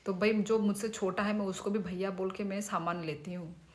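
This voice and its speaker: A woman's voice: medium pitch, little pitch movement, normal speed. A woman in her thirties, a native Kokborok speaker, chatting casually with viewers on a livestream and reading their names aloud. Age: 30s. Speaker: livestream host.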